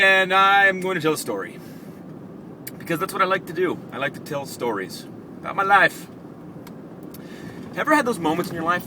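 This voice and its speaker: A man hosting a casual vlog, telling personal stories in an animated, reflective, conversational way. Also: a little high. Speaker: vlog host. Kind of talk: casual personal storytelling to camera. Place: in a car. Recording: clear vehicle and street noise.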